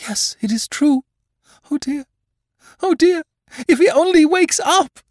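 A man doing a shrill, terrified mother. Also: lively intonation, unhurried, a little mellow, no echo, clean studio sound. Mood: frantic. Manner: shrill, breaking into panicked cries. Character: terrified aristocratic mother, frantic for her son.

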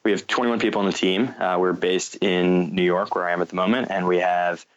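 A low-voiced American man speaks as an interview guest. His delivery stays very even and measured, with hesitant restarts as he explains things. The sound is dull and a bit lo-fi, like a call. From North Carolina, United States. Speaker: guest, fintech company founder. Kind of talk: interview answers, explaining business.

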